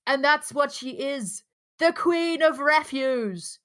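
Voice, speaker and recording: A woman voicing a furious ancient peasant woman in an amateur table read, jeering and declaiming with drawn-out, shrieking contempt. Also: sits high, lively intonation, a bit bright, full character voice. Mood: scornful fury.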